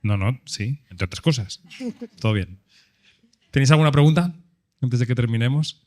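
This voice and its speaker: A man moderating a live panel, lively and joking as he prompts the audience. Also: mid-range voice, moderate pace. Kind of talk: live event hosting.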